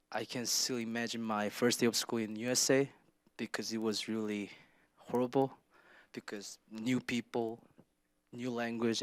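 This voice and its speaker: Teen boy from south korea, flat even voice, clean and dry. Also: mid-range voice, clear, resonant tone, dry recording. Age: teenager. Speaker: student speaker. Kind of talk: personal testimony.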